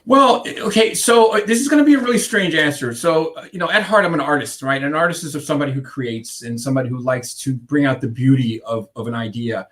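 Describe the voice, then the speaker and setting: A man's voice: medium pitch, a little fast, few pauses, a bit bright. Rambling Icelandic-born male consultant. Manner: rambling, many restarts and fillers. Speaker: guest, contact centre consultant. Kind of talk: conversational interview answers.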